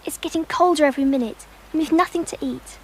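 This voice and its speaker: A woman's voice: high-pitched, quick pace, a little hiss or hum, rough recording. An actress playing a cautious, reluctant older schoolgirl in a radio drama. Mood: anxious, reluctant.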